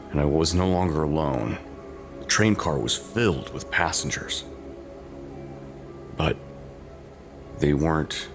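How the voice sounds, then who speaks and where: A man narrating a scripted first-person horror story in a slow, suspenseful, deliberate and dramatic way, with a gravelly voice. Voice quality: very deep, gravelly. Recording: loud ambient music bed under voice.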